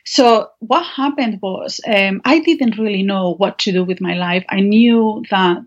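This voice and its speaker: A woman's voice: mid-range voice, measured pace. Spanish-accented woman, dull lo-fi line. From Spain. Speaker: guest author. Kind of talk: personal storytelling in an interview. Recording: dull, band-limited lo-fi sound.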